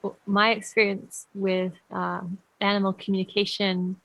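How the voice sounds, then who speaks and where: A woman with a gravelly, creaky voice telling a personal story. She speaks reflectively with soft laughs. The sound is dry and close. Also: medium pitch, little pitch movement, unhurried, no room sound, rough recording. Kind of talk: casual conversation.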